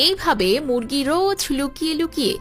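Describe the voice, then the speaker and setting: A woman's voice: sits high, animated, brisk, soft background music. A woman acting as storybook narrator for a children's animal cartoon. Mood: narrating.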